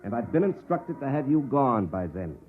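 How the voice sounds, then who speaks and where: Actor as jaded space captain, old phone-like radio sound. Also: male voice, mid-range voice, big pitch swings, moderate pace, lightly acted. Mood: blunt, impatient. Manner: cynical, world-weary, sardonic. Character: jaded, hard-drinking army space captain narrating his story. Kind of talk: 1950s radio drama acting with first-person narration. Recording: band-limited 1950s radio recording with music.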